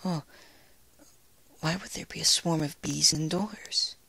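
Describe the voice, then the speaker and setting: A man voicing a timid, soft-spoken female pegasus pony in a fan fiction reading, hesitant and breathy. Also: fairly high pitch, slow, no echo, pitched above the performer's own voice, exaggerated voice. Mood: gentle, concerned. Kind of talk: fan fiction read aloud in character.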